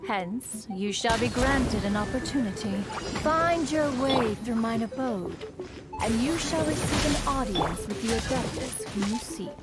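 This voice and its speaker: A woman's voice: a little high, expressive, unhurried. An actress playing a regal, aloof female immortal spirit in a fantasy video game, speaking in an imperious, archaic, formal manner. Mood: aloof, appraising. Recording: loud music behind the voice.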